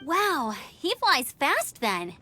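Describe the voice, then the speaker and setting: A bright, high-voiced eager young female scout. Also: huge pitch swings, very slow, faint music behind, full character voice. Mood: surprised, curious. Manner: eager, self-assured. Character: spirited, confident young woman scout and gliding instructor. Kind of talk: video game voice acting.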